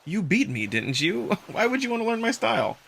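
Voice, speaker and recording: A man's voice: high-pitched, big pitch swings, fairly quick, creaky, a bit bright, breathy edge, voice pushed up, heavily acted. A man playing a wry, easygoing young human in a visual novel, talking casually and with some self-deprecation. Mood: bemused. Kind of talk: visual novel character acting.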